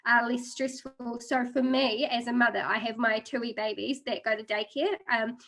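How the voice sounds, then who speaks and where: Bright, high-voiced New Zealand woman. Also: fairly even intonation, moderate pace. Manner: friendly, chatty, many fillers. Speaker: guest speaker, international marketing officer. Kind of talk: webinar presentation. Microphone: video call.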